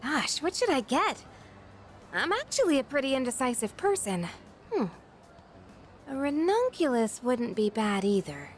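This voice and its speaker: A woman voicing a friendly, earnest, slightly shy teenage schoolgirl in a video game, soft-hearted and reflective. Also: high-pitched, big pitch swings, a little slow, long pauses. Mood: cheerful, playful. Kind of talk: English video game voice acting. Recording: background music under the voice.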